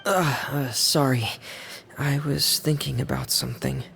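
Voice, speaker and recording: A withdrawn young man from a fantasy game who mumbles hesitantly. His soft voice has a gravelly edge and sits at a middling pitch. Faint ambience hums behind him. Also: fairly even intonation, a little slow, a little hiss or hum. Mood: distracted. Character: shy, distracted young man, quiet and withdrawn. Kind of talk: game voice acting.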